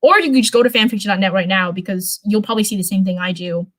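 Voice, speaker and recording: Female live-stream reader, mid-pitched, casual story narration with informal, chatty delivery. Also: fast talker. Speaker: streamer reading fan fiction live.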